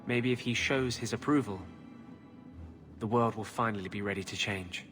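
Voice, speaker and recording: A man's voice: lowish voice, normal speed, fairly bright tone, close to an everyday voice. A man playing an intense, idealistic rebel in a video game cutscene, speaking in a grave, earnest way. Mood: grave, grateful. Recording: long reverb, game music behind.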